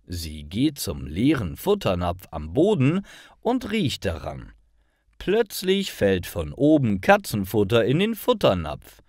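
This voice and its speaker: A man with a low voice narrates a story for learners at a very slow, careful pace. His intonation swings widely and the sound is dry and close, with every word set out clearly. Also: no room sound. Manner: clear, careful, expressive enunciation. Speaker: narrator of stories for language learners.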